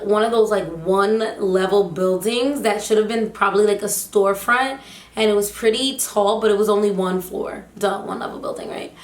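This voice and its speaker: Young woman vlogger, slightly hoarse, chatty storyteller, mid pitch, echoey room. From United States, Haitian family. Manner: chatty, animated, lots of fillers. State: slightly hoarse, losing her voice. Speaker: vlogger, teacher. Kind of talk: casual storytime vlog.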